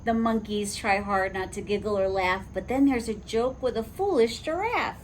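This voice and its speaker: A woman reading a picture book aloud to preschoolers in an animated way, stopping to ask the children questions. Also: mid-range voice, moderate pace, a little hiss or hum. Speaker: children's storyteller. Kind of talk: read-along for preschoolers.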